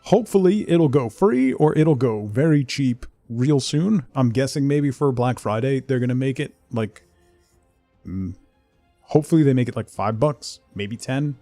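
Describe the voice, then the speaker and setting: A man's voice: mid-range voice, a little slow, mellow, no room sound, faint music behind. A middle-aged man streaming a game, chatting casually with viewers and muttering to himself in between. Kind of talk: casual chat while playing a game, replying to viewers.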